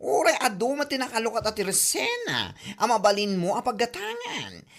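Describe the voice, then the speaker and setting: High-pitched, animated Ilocano busybody played by an actress. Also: female voice, normal speed, creaky, exaggerated voice. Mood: prying. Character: nosy, sassy, gossiping busybody. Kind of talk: comedy drama acting.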